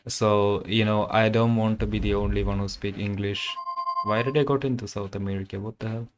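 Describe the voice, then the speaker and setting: A man's voice: lowish voice, steady tone, a little fast, background noise. A young man from Kerala with an Indian accent and Malayalam as his first language, chatting casually with viewers on a game stream, full of fillers and loose phrasing. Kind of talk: casual live-stream chat. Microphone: condenser microphone.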